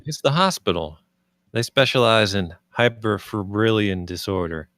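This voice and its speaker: A man's voice: lowish voice, lively intonation, normal speed, fairly bright tone, no room sound, studio quality. A man reading the part of an ailing but wry, easygoing man in a screenplay table read, matter-of-fact.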